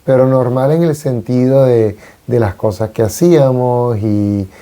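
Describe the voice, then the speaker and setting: A man in his forties from Venezuela, a priest, talking on a radio show in an unhurried, reflective way with frequent hesitations. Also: medium pitch, mellow. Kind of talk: casual conversation on a radio talk show.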